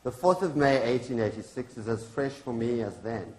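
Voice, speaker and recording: A man's voice: medium pitch, expressive, normal speed, darkish tone, lightly acted. A man reading the part of a grieving, defiant activist's widow in a staged play, solemn and accusing in manner. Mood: grieving, bitter. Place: indoor launch event venue. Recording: echoey room.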